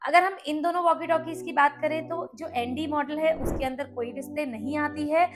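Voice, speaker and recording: A woman's voice: sits high, a little fast, background noise. A woman with an Indian accent hosting a casual product comparison, explaining things and mixing English words into her speech. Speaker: product review host.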